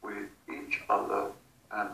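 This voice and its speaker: A man speaking as if in a trance, in short, halting, fragmented statements with a breathy delivery. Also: fairly low pitch, fairly even intonation, bright, forceful tone. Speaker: hypnosis subject relaying messages. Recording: distant, echoey, noisy recording.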